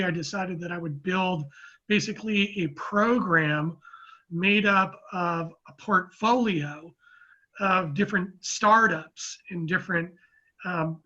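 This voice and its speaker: High, bright-voiced man in his fifties. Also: husky, dull audio. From Oakland, California, US. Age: 50s. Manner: rambling, restarts often, measured. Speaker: guest, real estate economist. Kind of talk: casual interview talk.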